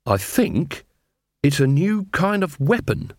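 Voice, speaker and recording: A man voicing an earnest young city watchman who explains things calmly and matter-of-factly in a story. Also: a little high, slow, no room sound, clean studio sound, voice pushed up, heavily acted. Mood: earnest, reasoning.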